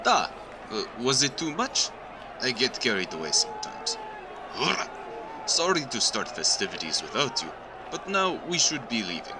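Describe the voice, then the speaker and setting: A man playing a gruffly affable, polite man of action in an audio drama. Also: medium pitch. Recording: noisy background ambience.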